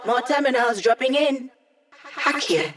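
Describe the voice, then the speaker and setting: A woman's voice: high-pitched, lively intonation, a little slow. An actress voicing a snarky, upbeat young female hacker who gives tech support in a video game, with playful, wry, casual quips. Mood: brisk, alert. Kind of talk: video game character voice lines.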